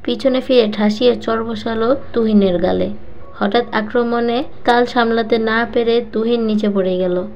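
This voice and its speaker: A woman's voice: on the high side, fairly even intonation, moderate pace, a little hiss or hum. A woman reading a serialised romantic novel aloud as scripted story narration. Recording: muffled lo-fi voice track with music. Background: background music.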